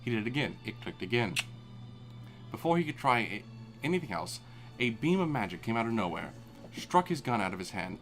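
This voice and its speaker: A man narrates fan fiction in a hammy, dramatic way for a live audience. His pitch swings widely as he reads. He often stops to laugh and swear, and faint music plays underneath. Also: big pitch swings, fairly quick, a bit bright. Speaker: fanfic reader. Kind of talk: comedic live fanfic reading.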